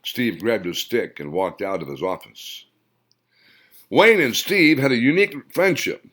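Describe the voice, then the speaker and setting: A man reading his own story aloud as audiobook narration, in an expressive storytelling manner. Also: mid-range voice, a little slow.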